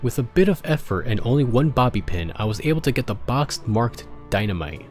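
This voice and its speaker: A man's voice: medium pitch, big pitch swings, a little fast, darkish tone, soft background music. A man narrating a story as he reads fan fiction aloud, sometimes stumbling and rereading a line.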